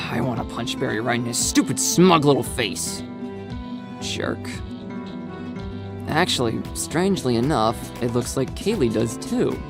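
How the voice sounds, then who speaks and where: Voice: mid-range voice, lively intonation, measured pace, gravelly. A narrator reading a dramatised first-person story in a scripted style. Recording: dry, music behind. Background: background music.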